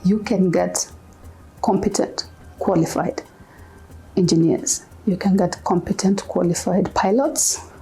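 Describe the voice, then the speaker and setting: Earnest, measured woman from Kenya for a mission documentary, mellow tone, faint music bed. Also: fairly low pitch, fairly even intonation, rough recording. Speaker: mission organization leader. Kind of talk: documentary interview.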